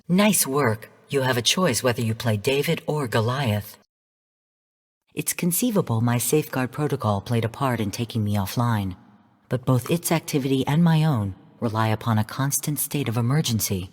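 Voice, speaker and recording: Female game AI, low husky voice, long hall reverb, formal, analytical, stern briefing delivery. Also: animated, moderate pace, darkish tone. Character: composed female artificial intelligence mission adviser, analytical, stern. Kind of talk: scripted game narration.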